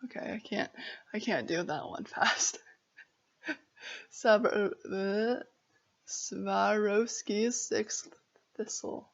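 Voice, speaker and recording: An American woman chats casually to camera with a stutter and a creaky voice. She speaks very slowly and haltingly, apologetic and giggly as she goes. The audio is dull and slightly muffled. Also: medium pitch. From Arizona, United States. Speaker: vlogger.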